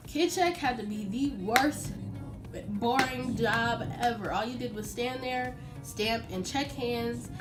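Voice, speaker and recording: A young adult American woman telling a personal story casually to her viewers in an informal, animated way. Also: fairly high pitch, slow, loud music behind the voice. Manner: chatty, informal, animated retelling with acted-out quotes. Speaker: vlogger.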